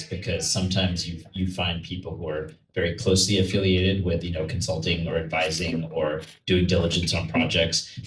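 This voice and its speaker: Guy hosting a panel, low voice, casual and thoughtful, roomy sound with bit of noise. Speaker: panel moderator. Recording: echoey event room, distant mic, noise.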